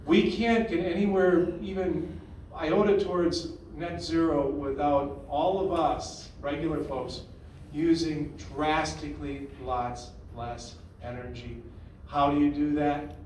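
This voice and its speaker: A man's voice: a little high, fairly even intonation, takes it slowly, a little mellow, a little hiss or hum. A man hosting a town hall meeting, talking in a conversational, opinionated way with frequent restarts. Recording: echoey room, far from the mic.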